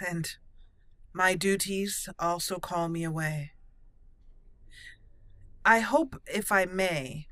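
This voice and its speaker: A woman voicing an earnest elf mage commander in improvised game roleplay, with a formal, deliberate, halting manner. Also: lowish voice, bright, forceful tone, no echo. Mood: gracious.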